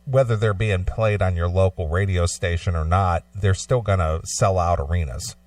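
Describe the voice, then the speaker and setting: A man's voice: low voice, fairly even intonation, normal speed, a little mellow, no echo, recorded from a distance. A man co-hosting a talk show, speaking casually and stumbling now and then as he explains or reads aloud. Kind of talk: casual discussion and reading a news article aloud.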